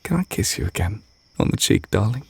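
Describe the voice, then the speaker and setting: A man voicing a tender, reserved witch teacher in a romantic ASMR roleplay, speaking softly and hesitantly, close to the mic with crickets behind. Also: on the low side, big pitch swings, gravelly, breathy edge, dry recording, exaggerated voice. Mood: fond, gentle. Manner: soft, intimate, hesitant, gently measured. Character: tender, reserved male witch teacher confessing love to partner. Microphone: close microphone.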